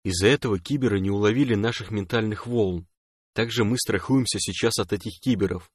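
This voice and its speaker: A man voicing a calm, reassuring young man who speaks in a hushed near-whisper, in an audiobook story. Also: fairly low pitch, a little fast, dry recording, studio quality, lightly acted. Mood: hushed, cautious. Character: calm, joking young male lover, reassuring.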